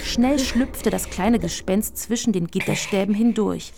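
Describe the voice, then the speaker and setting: A woman narrates a children's radio play from a script. Her mid-pitched voice has a creaky, fry-laden edge. There is some noise behind her. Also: measured pace, a little mellow.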